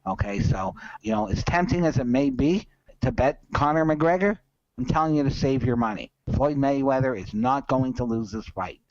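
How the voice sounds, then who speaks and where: Male sports betting podcast host, mid-pitched, emphatic and opinionated, slightly lo-fi sound. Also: animated, moderate pace, no room sound. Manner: emphatic, opinionated, repeats points for stress. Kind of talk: casual betting commentary.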